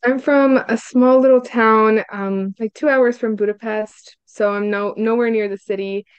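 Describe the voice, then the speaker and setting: A woman's voice: on the high side, fairly even intonation, unhurried, clean tone, dry recording, muffled recording. A young woman from Hungary, a student guest answering casually and upbeat, with lots of "like" and "um.". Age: young adult. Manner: upbeat, frequent "like" and "um" fillers.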